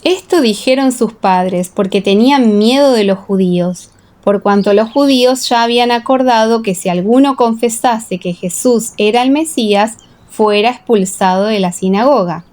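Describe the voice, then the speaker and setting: Woman narrating scripture in measured tones. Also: a little high, a little mellow. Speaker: audiobook narrator.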